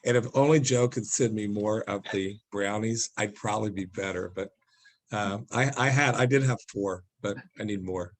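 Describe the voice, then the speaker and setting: A man with a raspy voice today, introducing himself in a friendly, joking way on a webinar panel over video call. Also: on the low side, moderate pace. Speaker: panelist, enterprise founder.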